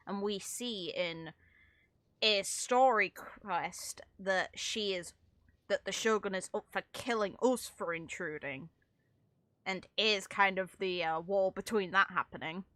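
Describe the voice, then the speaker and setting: A woman's voice: mid-range voice, bright, forceful tone, no room sound. A woman giving casual, chatty reaction commentary on video game dialogue, with informal slang and mild swearing. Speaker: streamer reacting to game voice lines.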